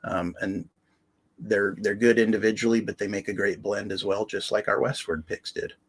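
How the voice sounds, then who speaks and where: A man's voice: lowish voice, steady tone, normal speed, husky. A man co-hosting a casual livestream, explaining the products plainly and teasing his co-host with dry jokes.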